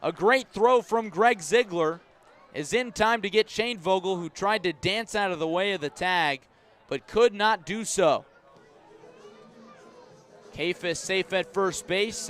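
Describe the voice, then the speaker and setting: A man calling a baseball game live on the radio, describing each pitch in measured phrases and lifting his voice for key plays. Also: a bit bright. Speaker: baseball play-by-play announcer. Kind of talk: live radio sports commentary.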